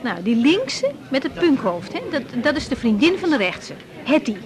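A woman's voice: sits high, sing-song, moderate pace, a crowd in the background. An actress playing a young woman doctor in a radio drama, direct and caring with a firm temper. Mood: casual. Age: young adult. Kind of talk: naturalistic Dutch radio drama acting. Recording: faint background noise.

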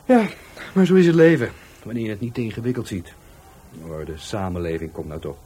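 An actor with a Netherlands Dutch accent playing a touchy, defiant law-school dropout in a radio play, by turns hesitant and scornful. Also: male voice, on the low side, lively intonation, a little fast, mellow, slightly rough. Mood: scornful, irritated. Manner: hesitant, then scornful and argumentative. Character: defiant, touchy young male law-school dropout, murder victim's helper.

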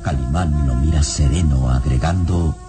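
A man's voice: a bass voice, normal speed. Theatrical male radio serial narrator over music. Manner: theatrical, suspenseful.

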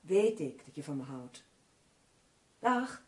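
An actress playing a sharp, sceptical crime writer in a radio drama, with a brisk, bossy, dismissive manner. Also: female voice, low-pitched, sing-song, heavy background noise, full character voice. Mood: suspicious, agitated. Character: sharp, sceptical woman co-writing crime novels with her partner.

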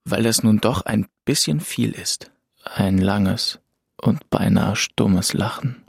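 A man reading scripted first-person literary narration as an audiobook narrator, with a creaky voice. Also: lowish voice, takes it slowly, no room sound, studio quality.

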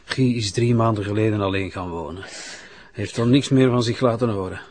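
A man's voice: lowish voice, a little fast, a little mellow, slightly rough. An actor playing a plain, hesitant Flemish father with a folksy manner in an audio drama. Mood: resigned. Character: plain, hesitant working father calming his wife.

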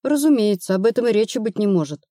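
Lady narrator doing a no-nonsense woman detective in a novel, rational and sure, mellow, dry sound. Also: mid-range voice, expressive, fast, no echo, lightly acted. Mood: blunt. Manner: matter-of-fact, assured. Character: brisk, rational, blunt female investigator advising a friend.

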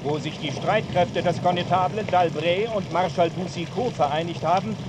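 An actor playing a reporter detailing troop movements formally in a radio drama. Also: male voice, high voice, normal speed, close to an everyday voice. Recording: clear watery outdoor noise behind.

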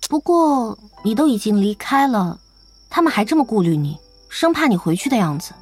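A woman's voice: fairly high pitch, very animated, normal speed, breathy edge, faint music behind. A woman playing a cool, frank young gamer in an audio drama, speaking in a matter-of-fact, inquisitive way. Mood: probing, composed. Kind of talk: Chinese audio drama acting.

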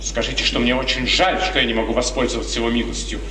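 An actor playing a proud, hot-tempered young count, haughty, curt and sarcastic, in 1950s radio theatre style. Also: male voice, mid-range voice, fairly even intonation, fast, bright, forceful tone, recorded from a distance, lightly acted. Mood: scornful anger. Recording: echoey, faintly noisy 1950s radio drama recording.

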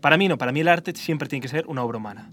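Spanish man, podcast host, explains theory in animated bursts, keeps correcting himself; light hiss on the track. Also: a little high, rapid-fire. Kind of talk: philosophical debate.

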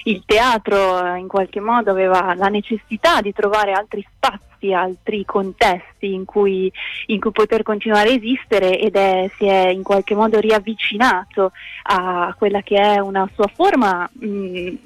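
A woman's voice: medium pitch, fairly even intonation, unhurried, no echo, low-quality recording. A woman giving an unscripted radio interview as a guest, searching for words and repeating small ones as she explains. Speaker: interview guest, project curator.

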